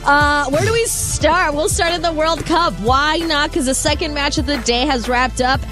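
High-voiced woman on sports updates over music. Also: normal speed. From Costa Rica. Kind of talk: casual banter and sports headlines. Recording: music bed behind voice. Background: clear music.

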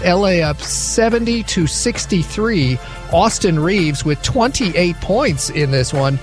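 A man reading the sports update on a talk radio show, rattling off scores and headlines with the odd dry aside. His voice sits a touch higher than average, and a faint music bed runs underneath. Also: expressive, unhurried. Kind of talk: sports news roundup on radio.

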